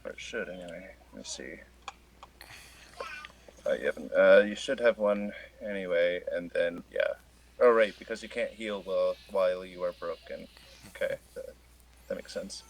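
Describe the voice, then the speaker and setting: A man casually refereeing a tabletop game as game master, halting and thinking aloud. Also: low-pitched, creaky, slight background noise, rough recording.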